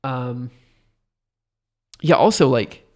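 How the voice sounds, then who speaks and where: A man's voice: mid-range voice, very slow. A tired man with a stuffy nose thinks aloud while live coding on a stream, rambling hesitantly and poking fun at himself. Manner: rambling, hesitant, self-deprecating.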